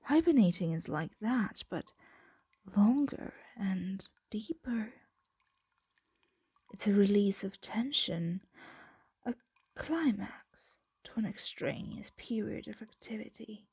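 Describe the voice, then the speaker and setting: A woman voicing a possessive, doting female dragon in an intimate ASMR roleplay, speaking softly and deliberately and often slipping into a whisper. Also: medium pitch, lively intonation, slightly rough, no echo, clean studio sound, exaggerated voice. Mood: tender, puzzled.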